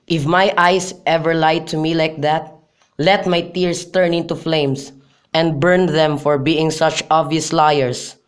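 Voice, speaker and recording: Miserable lovestruck young noble, played by an amateur, mid-pitched, earnest declamation, student radio play. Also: flat delivery, takes it slowly, clean tone, lightly acted. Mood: ardent. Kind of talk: amateur radio drama acting.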